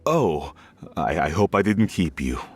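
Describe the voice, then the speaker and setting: A man's voice: sits low, very animated, unhurried, full character voice. An actor playing an older, polite and fatherly tea merchant who talks in a courteous, deferential way. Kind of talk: game voice acting.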